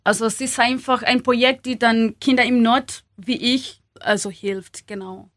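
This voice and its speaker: A young woman from Kenya speaking German as a second language, halting with many fillers and small grammar slips, as an interview guest. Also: a little high, fairly even intonation, a bit bright, no echo, clean studio sound. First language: not German. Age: young adult.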